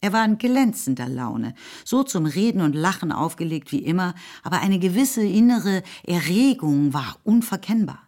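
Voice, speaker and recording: A woman's voice: on the low side, big pitch swings, moderate pace, few pauses, a little mellow. A woman narrating a radio drama in a scripted literary style. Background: music.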